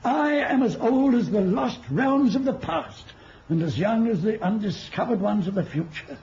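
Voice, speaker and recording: An actor playing an ancient wizard and mentor in a radio play, speaking in a poetic, archaic and wistful manner. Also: male voice, high voice, lively intonation, normal speed, darkish tone. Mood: wistful, grand. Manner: poetic, grandly archaic, chuckling speech. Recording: dull, noisy old radio recording.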